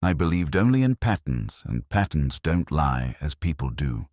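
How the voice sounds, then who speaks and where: A man narrating a scripted first-person detective story in measured, literary phrasing. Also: rumbling low, sing-song, darkish tone, no echo, studio quality.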